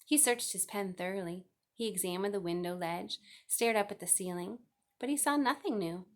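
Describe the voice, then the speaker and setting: A woman's voice: medium pitch, normal speed. A woman reading a children's novel aloud as scripted narration. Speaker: storybook reader.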